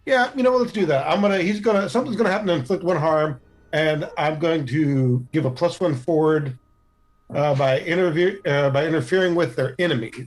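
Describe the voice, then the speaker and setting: A man's voice: on the high side, brisk. A man playing a tabletop game, chatting casually and thinking his moves through aloud in a hesitant, rambling way.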